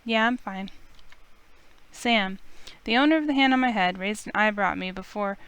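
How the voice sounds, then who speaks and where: A woman with a smooth, clear voice, reading a visual novel aloud as expressive first-person story narration. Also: medium pitch, normal speed, no room sound, dull audio.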